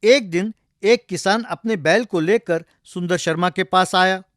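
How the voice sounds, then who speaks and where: High-voiced male storyteller. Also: unhurried, a bit bright, dry recording, clean studio sound. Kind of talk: scripted comic folktale narration.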